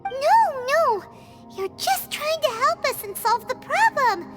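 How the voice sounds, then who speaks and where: A tiny fairy girl from a video game, squeaks in an extremely high, swooping voice. She is earnest, excitable and anxious, drawing words out childishly over prominent music. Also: slow pace, heavily acted. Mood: pleading. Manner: third-person self-reference, exclamatory.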